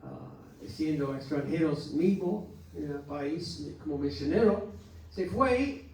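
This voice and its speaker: American pastor preaching in an echoey hall. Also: male voice, fairly high pitch, expressive, a little hiss or hum. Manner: earnest, exhorting with halting restarts. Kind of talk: Sunday school teaching. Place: church hall. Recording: echoey church hall from far off.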